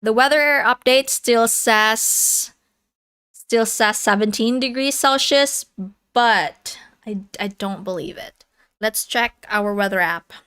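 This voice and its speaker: A woman's voice: on the high side, slow pace, a bit bright, clear, resonant tone. A woman in her thirties hosting a casual livestream, chatting with viewers, laughing often and reading the chat aloud. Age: 30s. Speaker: livestream host.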